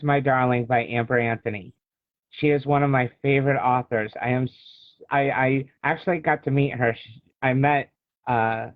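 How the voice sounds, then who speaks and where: A man's voice: medium pitch, no room sound. An American man in his early forties, an author chatting casually as the guest in an interview. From Illinois, United States. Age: early 40s. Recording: thin, phone-like video call sound.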